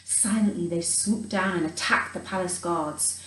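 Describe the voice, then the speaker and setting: A woman reading a storybook to children in a theatrical, expressive way, adding chatty asides. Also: lowish voice, normal speed, a little hiss or hum. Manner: theatrical, dramatic emphasis, chatty asides to young listeners. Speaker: children's storyteller in character as a Christmas elf. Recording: roomy echo, distant from the mic.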